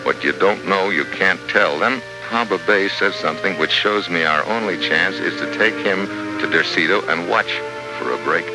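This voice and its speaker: An actor playing a tough, laconic American secret agent in a 1950s radio adventure, terse and unflappable. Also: male voice, low-pitched, moderate pace, lightly acted, poor audio. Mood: dry, narrating. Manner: terse, hard-boiled, unflappable. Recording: old 1950s radio recording, loud music.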